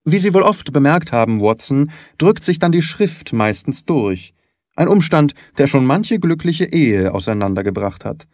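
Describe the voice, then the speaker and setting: A man's voice: a little high, big pitch swings, moderate pace, darkish tone, no room sound, studio quality. A man voicing a shrewd, self-assured detective who speaks precisely and courteously in an audiobook. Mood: analytical, self-assured. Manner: precise, probing, didactic. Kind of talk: audiobook character dialogue.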